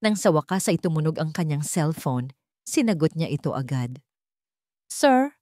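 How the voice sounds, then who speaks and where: A woman storyteller reading scripted dramatic narration in a deliberate, suspenseful manner. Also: deep voice, lively intonation, dark tone, dry recording, studio quality.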